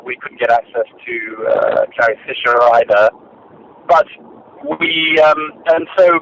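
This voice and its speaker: Older man, director guest; measured, halting delivery, bright tone, dull archival sound. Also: mid-range voice. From Britain. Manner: measured, hesitant, many fillers and restarts. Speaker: film and radio drama director, guest. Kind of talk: reflective interview answers. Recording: dull lo-fi archive recording, faint noise.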